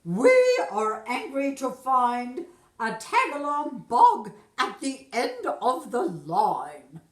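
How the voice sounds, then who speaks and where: A woman acts out an imperious insect queen from a children's storybook. Her voice is raised and loud, formal and full of displeasure, scolding in a measured way. The sound has a clear room echo. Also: on the high side, big pitch swings. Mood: displeased. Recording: roomy echo.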